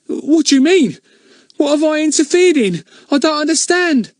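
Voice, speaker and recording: A man's voice: high voice, sing-song, normal speed, no room sound, studio quality, voice pushed up. A man voicing a simple, earnest boy who is hopeful and proud, in a storybook reading. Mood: alarmed.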